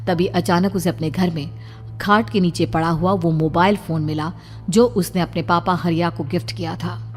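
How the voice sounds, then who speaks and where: A woman's voice: on the low side, steady tone, moderate pace, soft background music. A woman narrator reading a scripted horror story in a dramatic style.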